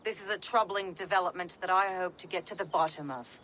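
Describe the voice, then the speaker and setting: An actress playing a grave, cryptic pagan witch and guide in a video game, speaking in a solemn, knowing, deliberate way. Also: lowish voice, fairly bright tone, a little hiss or hum, natural voice, rough recording. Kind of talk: video game drama acting. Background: horse hooves and outdoor ambience.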